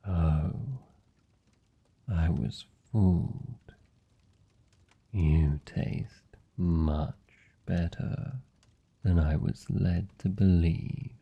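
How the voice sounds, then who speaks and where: Guy doing a british vampire lord asmr, really slow n teasing, silky menace, slightly muffled audio. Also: deep voice, steady tone, vocal fry, breathy edge. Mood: pleased, intrigued. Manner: teasing, formal, silky and deliberate. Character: suave male vampire lord, seductive, commanding, politely predatory. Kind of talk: fantasy ASMR roleplay, slow intimate monologue.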